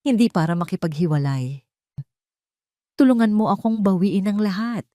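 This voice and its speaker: A woman's voice: medium pitch, moderate pace, mellow, dry recording, close to an everyday voice. Female narrator as betrayed wife, urgent pleading, wounded resolve, dry drama recording. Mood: determined.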